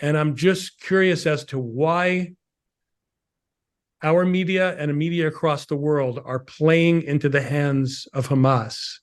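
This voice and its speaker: A man co-hosting a live political discussion, laying out his points in short, measured phrases. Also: a little high, steady tone. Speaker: co-host of a political talk show.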